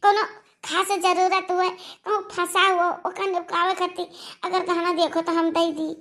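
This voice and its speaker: A woman's voice: extremely high pitch, little pitch movement, unhurried, fairly bright tone. A woman voicing an aggrieved young village wife in a cartoon, pleading and arguing with an Awadhi accent. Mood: indignant, pleading. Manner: pleading, argumentative, complaining. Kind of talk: melodramatic cartoon dialogue.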